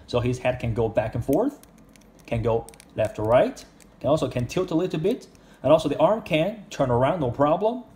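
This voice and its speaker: Man, toy reviewer, casual review, mellow tone, non-native phrasing. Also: medium pitch.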